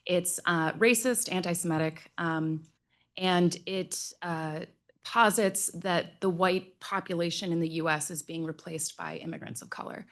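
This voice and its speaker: A woman giving analytical remarks as a panelist, measured and often pausing. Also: fairly low pitch, steady tone, fairly bright tone.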